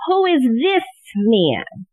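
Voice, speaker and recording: Woman as detective's eager female assistant, high-pitched, animated, questioning, audio play, tinny narrow-band sound. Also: very slow, dry recording, full character voice. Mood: curious. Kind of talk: theatrical audio play acting. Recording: thin, narrow phone-like sound.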